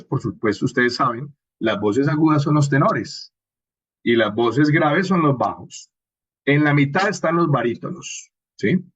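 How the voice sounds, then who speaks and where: A man's voice: mid-range voice, slightly rough. A man with a Colombian accent giving an informal, explanatory lecture as a workshop instructor, often checking in with short questions. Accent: Colombian Spanish. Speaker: workshop instructor on radio drama and podcasting. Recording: muffled, dull lo-fi audio.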